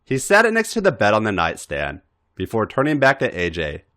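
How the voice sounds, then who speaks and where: A man's voice: lowish voice, wildly swooping pitch, fairly quick, a bit bright. A man reading adult fiction aloud as a dramatic narrator, acting out the dialogue theatrically. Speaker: podcast reader.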